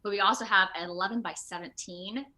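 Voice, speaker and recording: Bright-voiced, expressive woman chatting. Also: medium pitch, quick pace. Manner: chatty, expressive, giggly, thinks aloud. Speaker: guest, video game voice actor. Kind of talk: casual animated interview chat. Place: remote video call.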